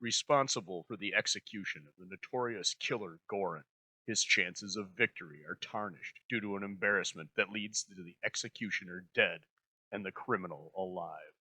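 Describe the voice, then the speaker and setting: Bright, forceful man, low and dry. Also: normal speed, husky, dry recording. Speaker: host introducing an old radio episode. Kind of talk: scripted narration.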